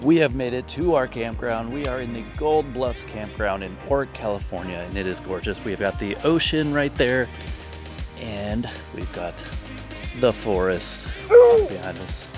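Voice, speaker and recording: A man's voice: mid-range voice, big pitch swings, unhurried. A man in his thirties filming a casual travel vlog outdoors on a phone held at arm's length, with upbeat, joking tour-guide patter. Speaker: vlogger. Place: outdoors on a forested coast. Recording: music playing behind.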